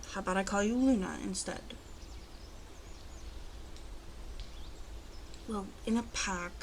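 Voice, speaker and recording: Woman acting a female werewolf alpha, creaky fry, soft deliberate ASMR roleplay, guarded, protective, commanding, faint noise behind. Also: on the low side. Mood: calm, conceding. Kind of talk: ASMR roleplay, speaking to the listener.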